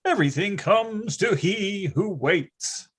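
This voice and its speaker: A performer playing an excitable, grandiose narrator recalling a nine-year-old's boyhood in a theatrical readers theatre style. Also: medium pitch, takes it slowly, breathy edge.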